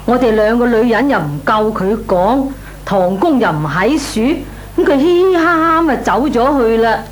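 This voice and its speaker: An actress playing a nosy, goading neighbourhood housewife in a Cantonese comic radio drama, with colloquial, exclamatory talk. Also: female voice, on the high side, animated, moderate pace, lightly acted. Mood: coaxing. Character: nosy neighbourhood married woman, coaxing and teasing. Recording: noisy, humming radio recording.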